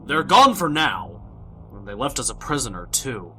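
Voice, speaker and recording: A man's voice: medium pitch, sing-song, normal speed, bright, forceful tone, a little hiss or hum. A man playing an eager, earnest young warrior hero in a fantasy audio drama. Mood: determined, puzzled. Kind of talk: fan audio drama acting.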